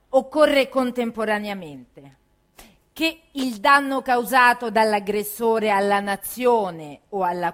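Solemn woman reading, fairly high voice. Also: fairly bright tone. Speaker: stage reader. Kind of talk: formal dramatic reading of a document.

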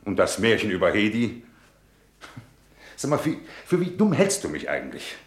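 A man's voice: on the low side, huge pitch swings, moderate pace, echoey room. An actor playing a bitter, disillusioned middle-aged businessman husband in a German radio drama, with a sarcastic, theatrical way of talking. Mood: scornful, sarcastic. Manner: sarcastic, rhetorical, theatrical delivery.

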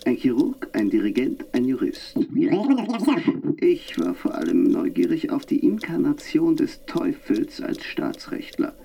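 A man's voice: medium pitch, very animated, a little slow, vocal fry, dark tone, slightly rough, exaggerated voice. An actor narrating in a reflective, half-whispered manner, as a man looking back on the past. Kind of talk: radio play narration.

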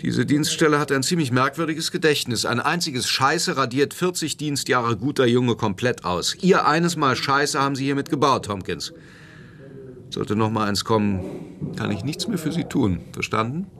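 An actor as a sardonic, stern police lieutenant. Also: male voice, mid-range voice, expressive, a bit bright, lightly acted. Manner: sardonic, deliberate, authoritative. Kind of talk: German radio drama acting.

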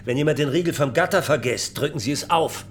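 An actor playing a resentful farmer in a radio play, speaking in a gruff, sarcastic way. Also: male voice, a little high, quick pace, a little hiss or hum, lightly acted. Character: gruff, resentful male pig farmer, husband.

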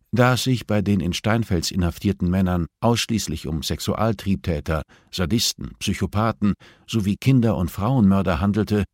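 A man's voice: deep voice, a little mellow, no room sound, clean studio sound. A man reading scripted audiobook narration of a thriller.

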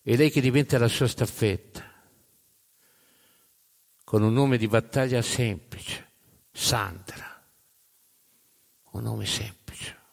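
A man narrating live in a theatrical storytelling style, with dramatic, emphatic phrasing that swings between loud and hushed. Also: medium pitch, takes it slowly, gravelly, pauses often. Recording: long hall reverb.

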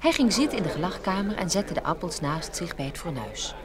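A woman narrating a children's fairy tale from a script in an expressive storytelling manner. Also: fairly low pitch, fairly quick, a crowd in the background.

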